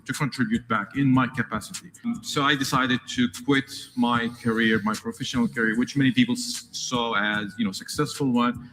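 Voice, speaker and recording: An earnest man from Palestine speaks at a mid pitch, circling back and restarting his sentences as he goes. His voice carries a long reverb tail, as if the recording was made in a big hall. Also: fairly bright tone, slight background noise. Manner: earnest, repetitive, restarts often. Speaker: tech founder interviewed. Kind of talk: earnest talk about his platform.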